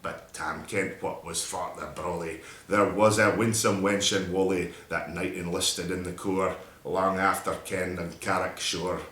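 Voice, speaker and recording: Echoey, forceful Scotsman reciting dramatic verse. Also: low voice, steady tone, measured pace, hardly any pauses. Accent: Scottish. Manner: theatrical, emphatic storytelling in broad Scots dialect. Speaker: poetry reader.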